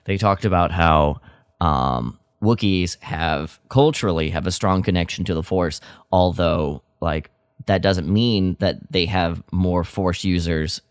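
A man's voice: deep voice, a little slow, smooth, dry recording, clean studio sound. A man co-hosting a podcast, chatting casually with a wry, joking streak. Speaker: podcast co-host. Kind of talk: casual chat about Star Wars lore.

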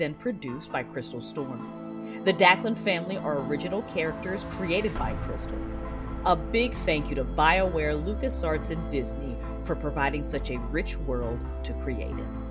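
A woman with a lively, swooping delivery hosts a fiction podcast and addresses listeners with announcements. Her voice sits fairly low for a woman, and music plays clearly behind her. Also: normal speed. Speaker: podcast creator and host. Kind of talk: scripted podcast announcement with casual asides.

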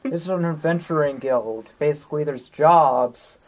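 A woman's voice: sits low, moderate pace, a little mellow, poor audio. A woman chatting casually in character as a player in a tabletop game.

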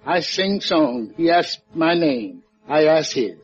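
Gentle loyal island musician from old radio, highish voice, broken english, music behind. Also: male voice, animated, slow pace, lo-fi sound. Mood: fond. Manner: quaint broken English, singsong storytelling. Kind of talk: 1950s radio drama acting.

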